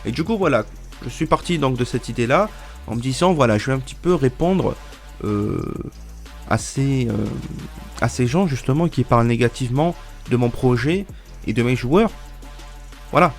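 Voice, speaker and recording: Twenties male YouTuber, congested, mid pitch, rambling vlog talk, restarts. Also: expressive, moderate pace, pauses often, faint music behind. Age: 20s. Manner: rambling, many fillers and restarts. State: stuffy from a cold. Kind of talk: casual vlog talk to viewers.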